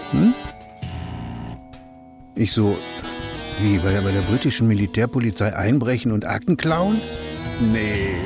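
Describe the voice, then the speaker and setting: An actor in his sixties playing a gruff, defensive, nostalgic police chief in a German radio crime drama. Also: fairly low pitch. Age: 60s.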